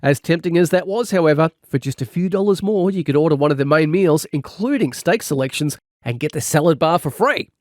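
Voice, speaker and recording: Animated man narrating a comedy audiobook. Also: a little high, brisk, few pauses, no room sound, studio quality.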